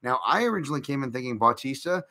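Bright-voiced rambling male podcast host. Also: medium pitch, lively intonation, rapid-fire. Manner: rambling, filler-heavy, restarts often; chatty, reacts to viewer comments. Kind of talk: casual live-stream betting breakdown.

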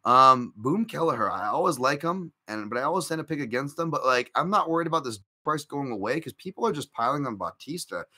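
A man's voice: mid-range voice, lively intonation, a little fast, few pauses, sharp tone, slightly rough. A man hosting a casual live-stream podcast, rambling through betting picks with lots of fillers and restarts while chatting with viewers. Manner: chatty, reacts to viewer comments. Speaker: podcast host.

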